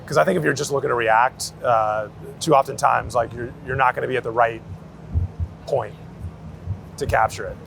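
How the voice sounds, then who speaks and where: A man's voice: medium pitch, steady tone, moderate pace, gravelly, pauses often, a bit bright, poor audio. A man talking casually in slangy, anecdotal style, as a street photographer being interviewed outdoors in the city. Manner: slangy, anecdotal, hedging with "like" and "you know". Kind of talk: casual chat. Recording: city street noise, traffic around.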